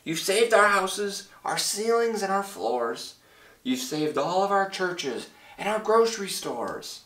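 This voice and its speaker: A man's voice: high-pitched, big pitch swings, slow pace, a bit bright, roomy sound, far from the mic, voice pushed up, heavily acted. A man voicing a tiny, friendly, grateful town mayor in a small piping voice for a children's storybook.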